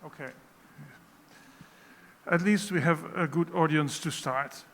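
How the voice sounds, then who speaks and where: A man leading a conference session, speaking in a relaxed, conversational way. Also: fairly high pitch, slow. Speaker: workshop facilitator. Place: conference room. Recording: echoey conference hall, faint background noise.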